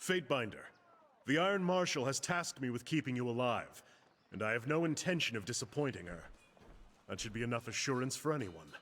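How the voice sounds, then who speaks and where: A man's voice: medium pitch, sing-song, a little fast, a bit bright. A man playing a dutiful armored soldier in a fantasy game, with a formal, dry and wry way of talking. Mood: resolute. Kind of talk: fantasy video game voice acting. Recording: music playing behind the voice. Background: clear background music.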